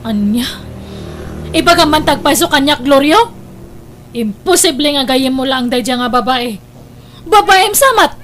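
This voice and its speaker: Radio drama role: wronged wife, very high voice, emotional and indignant, slightly noisy recording. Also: female voice, lively intonation, measured pace, pauses often, fairly bright tone. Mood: indignant, hurt. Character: wronged wife, hurt, accusing, tearful. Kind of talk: Ilocano radio drama acting.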